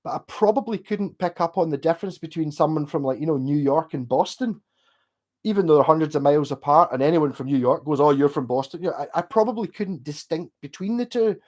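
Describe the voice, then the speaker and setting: A man's voice: a little high, expressive, darkish tone. A middle-aged man with a Glasgow accent chatting casually and at length, rambling through stories and reading out messages. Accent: Glasgow Scottish. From Scotland. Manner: rambling, chatty, swears and laughs, slows down when asked. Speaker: streamer reading chat. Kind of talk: casual chat.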